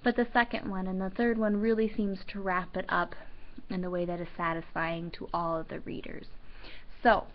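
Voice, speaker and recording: A woman teacher reading a storybook aloud and commenting for students, with deliberate, emphatic phrasing and pauses. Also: mid-range voice, flat delivery, slight background noise. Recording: dull lo-fi sound.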